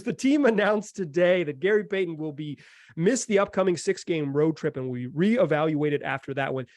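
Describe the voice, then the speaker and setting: A man's voice: a little high, darkish tone, no echo. An American man hosting a basketball podcast in a conversational style. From North Carolina, United States. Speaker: sports podcast host.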